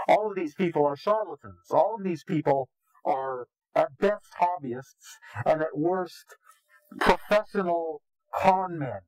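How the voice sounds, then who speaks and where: A man's voice: on the high side, expressive, slow, long pauses, no room sound, rough recording. A man being interviewed, speaking in a contemptuous, indignant way. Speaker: anonymous interviewee. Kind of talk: recorded interview.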